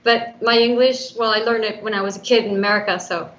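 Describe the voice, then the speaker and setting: A woman's voice: a little high, fairly even intonation, quick pace, a bit bright, highs cut off. A woman who is a native Spanish speaker, chatting casually as a guest on a show. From Spain. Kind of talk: casual chat. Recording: clear background noise.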